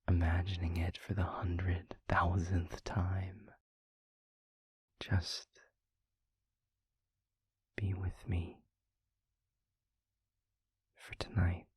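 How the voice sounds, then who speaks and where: A man playing a tender, weary demon lover in an intimate audio roleplay, speaking softly and deliberately in a hushed, breathy, gravelly voice close to the microphone. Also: low voice, dry recording, heavily acted. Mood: tender, earnest. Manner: slow, deliberate, soft, wordy romantic phrasing. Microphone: close microphone, dry.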